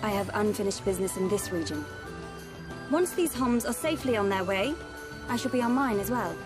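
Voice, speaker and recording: An actress playing a noble, solemn young woman in a video game, speaking in a formal, measured, stately way. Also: medium pitch, expressive, over music, close to an everyday voice. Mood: grave, composed. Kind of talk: video game cutscene acting.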